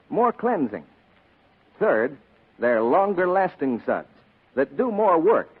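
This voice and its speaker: Animated American radio announcer, tinny sound. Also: male voice, breathy edge. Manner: emphatic sales pitch. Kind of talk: 1940s scripted radio commercial. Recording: tinny 1940s radio broadcast.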